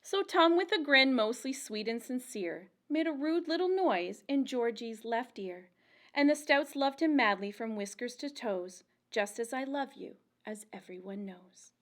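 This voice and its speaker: A woman with a high voice reads aloud for a children's storytime. Her pace is very slow and deliberate, with a sing-song, expressive storyteller delivery shaped for small listeners following a rhyming picture book. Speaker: children's librarian and storytime reader.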